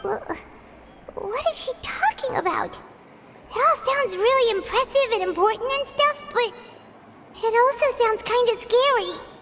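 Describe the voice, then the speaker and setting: Squeaky, very high-pitched childlike fairy. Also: female voice, animated, unhurried, slight background noise, full character voice. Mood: nervous. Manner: third-person, exclaiming, chatty. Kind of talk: video game voice acting. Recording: loud music behind the voice.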